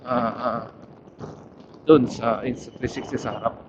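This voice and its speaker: A man with a Filipino accent vlogging casually while riding a motorcycle, pausing often to search for words, speaking into a helmet intercom mic. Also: mid-range voice, fairly even intonation, highs cut off, background noise. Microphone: helmet intercom headset.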